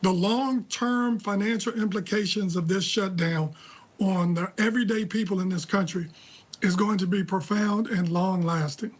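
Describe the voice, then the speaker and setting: An American man with a notably high voice, speaking as a news analyst. His delivery is measured and deliberate, with a critical edge. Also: fairly even intonation. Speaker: political analyst, interview guest. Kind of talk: live news commentary.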